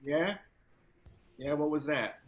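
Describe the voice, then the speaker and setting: A man acting a shaken, grieving young activist in a screenplay table read, with halting, emotional delivery. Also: fairly high pitch. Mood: wounded, confrontational.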